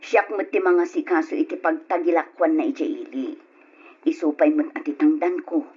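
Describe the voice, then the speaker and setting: A woman acting a weary, caring mother and long-suffering wife with a husky voice in an old Ilocano radio drama. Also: deep voice, fairly even intonation, moderate pace, highs cut off. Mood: pleading.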